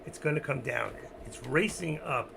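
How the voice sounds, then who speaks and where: A man hosting a casual trading livestream, rambling to himself and his chat with a hoarse voice. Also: mid-range voice, normal speed, noisy background. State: losing his voice. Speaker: stock trading livestream host. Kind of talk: casual live market commentary.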